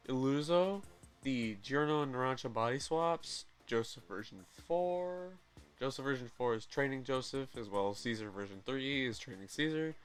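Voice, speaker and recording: A young man talks through gacha game news in a loose, rambling way. He gets excited, trips over his words and corrects himself as he goes. Faint music plays underneath. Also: medium pitch, clear, resonant tone. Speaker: gaming channel host. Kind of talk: casual game news commentary.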